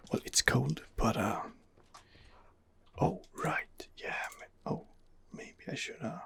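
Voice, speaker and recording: A man softly narrating an ASMR game playthrough in character, hesitant and musing to himself in a hushed voice. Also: mid-range voice, takes it slowly, creaky. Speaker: host of a roleplay gameplay series.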